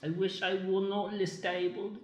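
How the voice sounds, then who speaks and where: A woman as a slow, plaintive cyborg child. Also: mid-range voice, little pitch movement, lightly acted. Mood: wistful. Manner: halting, plaintive, overdramatic. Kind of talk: improvised comic radio play acting. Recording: roomy echo.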